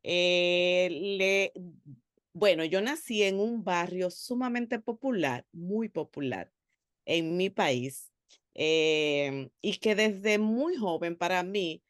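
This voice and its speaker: A woman from the Republic, giving an earnest, animated personal testimony as a theologian on a panel, with frequent hesitations. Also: on the low side, slow pace, dry recording, studio quality. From Dominican Republic.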